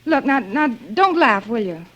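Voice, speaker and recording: High-voiced, fretful young woman. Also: very animated, normal speed, a bit bright, dull audio, a little hiss or hum. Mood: uneasy. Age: young adult. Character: anxious, forgetful young working woman racked by guilt. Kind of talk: 1940s-50s radio thriller acting, inner monologue and dialogue. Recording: old radio drama, music underneath.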